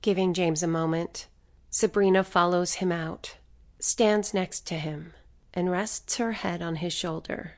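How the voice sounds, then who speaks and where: Lower-pitched woman narrating an audiobook. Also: steady tone, unhurried, a little mellow. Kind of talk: scripted narration.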